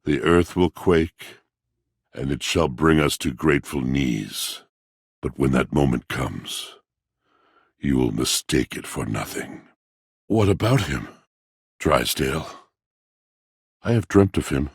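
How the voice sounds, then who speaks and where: A very deep, gloomy old washing machine. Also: male voice, lively intonation, no room sound, clean studio sound, exaggerated voice. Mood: mournful, resolute. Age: older. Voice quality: deep, gravelly. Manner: theatrical, solemn, flowery, drawn-out delivery. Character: gloomy, aging, lovelorn washing machine, grandly melodramatic. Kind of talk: video game character acting.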